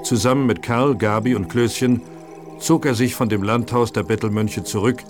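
A man's voice: mid-range voice, normal speed, music in the background. A man reading scripted narration as the storyteller of a detective audio drama.

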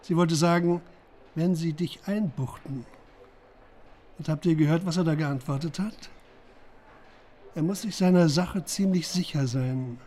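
A man's voice: fairly high pitch, measured pace, pauses often, mellow. An actor in a German radio crime drama playing a shrewd, commanding police chief who analyses for his team. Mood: analytical. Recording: faint background noise.

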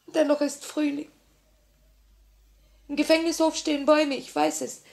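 An actress playing a grieving but resolute young revolutionary in a 1950s German radio play, solemn and theatrical. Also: female voice, sits high, flat delivery, normal speed, vocal fry, a little mellow. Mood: anguished. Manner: theatrical, solemn, impassioned delivery. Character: grieving, resolute young woman revolutionary, torn between love and duty.

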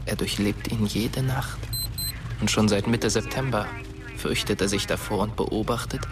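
A young man playing a dead teenage boy who narrates a German radio drama in a measured, hushed, brooding way. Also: lowish voice, natural voice. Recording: loud music under the voice. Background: loud music.

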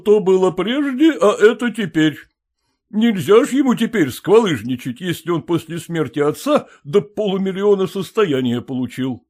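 A man's voice: sits high, expressive, moderate pace, a little mellow, voice pushed up. A man doing a pompous older lounger. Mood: smug. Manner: pompous, lecturing.

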